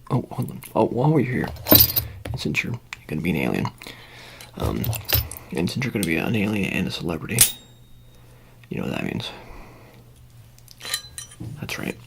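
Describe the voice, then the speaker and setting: A soft-spoken ASMR performer in a roleplay, murmuring casually and often slipping into a whisper as if tending to someone. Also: deep voice, very animated, moderate pace, gravelly, long pauses, noisy background. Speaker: ASMR performer playing an attendant.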